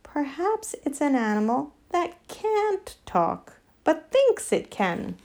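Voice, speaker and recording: A woman voicing a bright, naive and helpful donkey in a storybook, eager in manner. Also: medium pitch, very animated, moderate pace, lightly acted. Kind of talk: storybook character voice.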